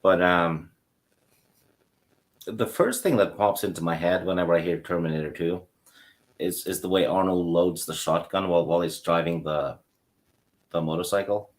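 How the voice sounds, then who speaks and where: Very low-voiced man chatting hesitantly. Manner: hesitant, with ums and pauses. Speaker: guest. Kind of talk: casual chat.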